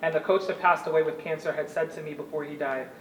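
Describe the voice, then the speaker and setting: A woman's voice: deep voice, quick pace, a little hiss or hum. Woman's voice, distant and roomy. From New York. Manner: animated, laughs easily, earnest. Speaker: guest, former Olympic shot putter. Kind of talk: conversational interview storytelling. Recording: roomy, distant mic, faint ambience.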